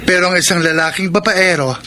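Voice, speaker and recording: An actor in a radio drama playing a frank friend who gives doubtful, wry advice. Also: male voice, high voice, fairly even intonation, fairly quick, over music, lightly acted. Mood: skeptical.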